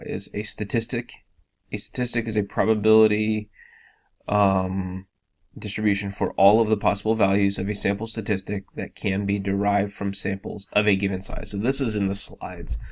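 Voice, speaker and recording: Young male instructor, hoarse and raspy from a cold, flat low delivery, casual and full of fillers. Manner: informal, filler-heavy, many ums and restarts. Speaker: business statistics instructor. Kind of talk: casual recorded lecture.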